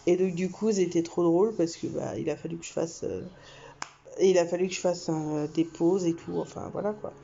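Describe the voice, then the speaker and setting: A woman's voice: fairly low pitch, normal speed, gravelly, muffled recording, slight background noise. A young woman from France, telling a story casually to camera with lots of fillers and laughter. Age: young adult. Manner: chatty, many fillers and laughs, animated swings. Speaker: vlogger.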